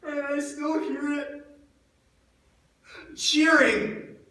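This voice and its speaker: An actor playing a grief-stricken, guilt-ridden disciple of Jesus in a theatrical monologue, speaking in a halting, emotive way. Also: male voice, very high voice, flat delivery, bright, forceful tone, exaggerated voice. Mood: anguished, horrified. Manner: halting, anguished, emotive delivery with repeated words. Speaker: actor in a church dramatic monologue. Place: large echoing church indoors. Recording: echoey church, far from the mic.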